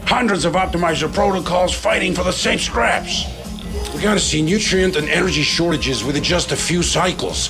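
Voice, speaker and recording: An actor playing a gruff, sardonic, foul-mouthed district crime boss in a video game cutscene. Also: male voice, a little high. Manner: sardonic, contemptuous, brusque.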